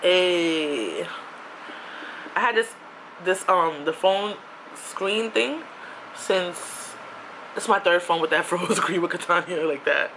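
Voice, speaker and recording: A woman's voice: on the low side, takes it slowly, long pauses, slight background noise, poor audio. A young woman from New York, ranting casually on her own vlog in a chatty, slangy way. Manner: chatty, animated, with slang and asides. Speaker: YouTuber.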